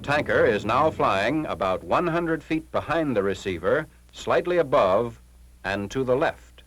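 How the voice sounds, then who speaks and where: A man's voice: medium pitch, animated, measured pace, a bit bright. An American man narrating a 1940s military training film in a formal, clipped, instructional manner. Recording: noisy 1940s film soundtrack, engine-like hum.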